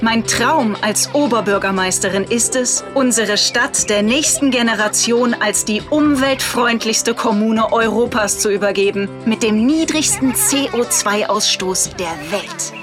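A woman's voice: a little high, unhurried, few pauses, over music, close to an everyday voice. An actress in a radio drama playing an earnest, uptight, self-important eco-minded woman mayor, declaiming a political speech. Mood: earnest, campaigning. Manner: pompous, declamatory.

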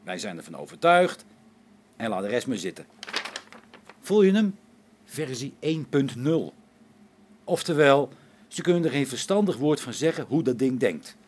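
Guy acting a grumpy sarcastic space station techie, radio drama, swingy pitch, bit of noise behind. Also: close to an everyday voice. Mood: sarcastic, exasperated. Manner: sarcastic, exasperated complaining. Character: grumbling, sarcastic male space-station technician wary of new robots. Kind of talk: Dutch radio play acting.

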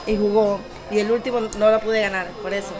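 Fast-talking woman chatting over music. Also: medium pitch, level tone, a little mellow. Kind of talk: casual chat, recounting a story.

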